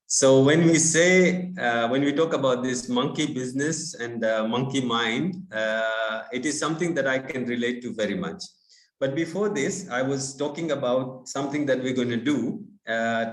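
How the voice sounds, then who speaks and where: Guest speaker on a video call, man, unhurried and halting, roomy sound. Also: mid-range voice. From India. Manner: halting, many fillers, friendly. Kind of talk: online talk. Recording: echoey room.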